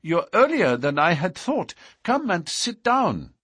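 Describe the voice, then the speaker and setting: Guy doing a scared young woman in love, high husky voice, begging and breathless, audiobook style. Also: sing-song, slow pace, a bit bright, no echo, studio quality, heavily acted. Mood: anxious, polite. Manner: breathless, imploring.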